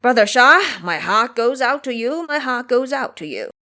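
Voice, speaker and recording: A woman voices a male pig disciple for an audio drama. He chatters and grumbles at his brothers, his pitch moving about in lively complaint. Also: normal speed. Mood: effusive.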